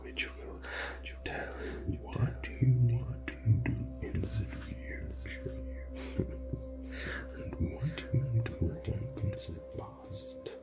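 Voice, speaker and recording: A man's voice: medium pitch, lively intonation. A man doing a whispering mystical shaman. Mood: soothing. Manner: slow, soothing whispered reassurance with repetitions. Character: calm, mystical middle-aged male shaman healer. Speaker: ASMR roleplay performer. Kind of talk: ASMR roleplay. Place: small indoor studio with dark backdrop. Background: soft ethnic music.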